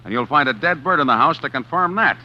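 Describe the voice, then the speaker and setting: An actor playing a man who narrates his own crime in a 1940s radio drama, tense and halting. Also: on the high side, animated, slight background noise, natural voice. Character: male narrator who turns killer, jittery. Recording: dull old radio recording with music.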